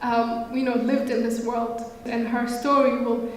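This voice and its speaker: A determined, earnest woman in her late twenties, originally from Somalia, speaking in a level voice that stays on an even line. She sounds far from the mic in a big echoey hall with a long reverb tail, with faint background noise. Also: on the high side, unhurried. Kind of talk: interview remarks.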